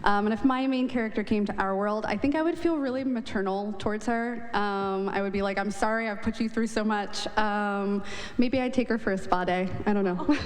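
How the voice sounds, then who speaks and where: A woman author answering on a live panel, hesitant, with many pauses and ums and some laughs. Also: mid-range voice. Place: event hall. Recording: reverberant hall.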